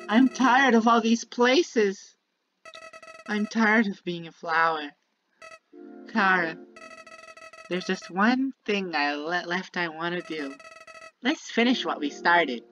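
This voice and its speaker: A woman's voice: mid-range voice, a bit bright, low-quality recording. A woman voicing a sinister, childlike talking flower from a video game, bitter and manipulative, delivering a theatrical monologue that moves between sweet musing and menace. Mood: weary, menacing. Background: prominent music.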